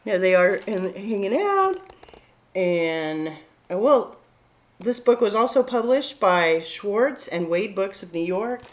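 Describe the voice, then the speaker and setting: A woman's voice: mid-range voice, sing-song, takes it slowly. A woman hosting a children's story time, talking and reading aloud expressively to young listeners.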